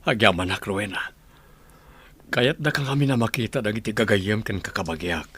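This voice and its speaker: A man playing an earnest, gentle father in an Ilocano audio drama, speaking with an Ilocano accent. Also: on the low side, moderate pace, husky. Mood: warm, reassuring. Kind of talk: radio drama acting.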